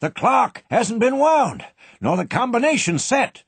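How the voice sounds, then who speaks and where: A man voicing an older banker in a panic, his voice shaky and raised high as he calls out. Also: sing-song, a little slow, gravelly, breathy edge, no room sound, full character voice. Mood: alarmed shouting. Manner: shaky, calling out loudly. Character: older male banker, proud then panicked.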